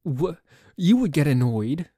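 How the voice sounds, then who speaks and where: A man's voice: a little high, big pitch swings, unhurried, mellow, husky, no echo, studio quality, exaggerated voice. A man playing a dorky, jealous boyfriend in an ASMR roleplay, complaining in a stammering, exasperated way that sometimes drops toward a whisper. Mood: exasperated, defensive. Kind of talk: ASMR roleplay audio drama.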